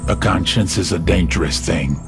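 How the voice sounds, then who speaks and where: An actor playing a cold, philosophical drug lord, narrating in a measured, ominous way. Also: male voice, sits low, creaky, music in the background. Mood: cold menace. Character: cold, menacing, philosophical male drug cartel boss. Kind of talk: scripted, brooding narration. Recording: loud score under the voice.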